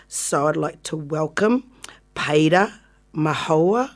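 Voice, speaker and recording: Relaxed, chatty New woman hosting. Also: deep voice, slow pace. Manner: relaxed, chatty, laughs easily. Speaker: radio podcast host. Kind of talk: casual chat. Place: radio studio.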